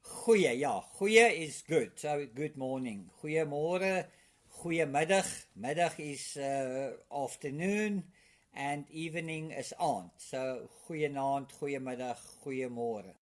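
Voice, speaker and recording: A middle-aged South African man with an Afrikaans accent, a guest in a casual interview, talking haltingly with many fillers and restarts. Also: a little high, expressive.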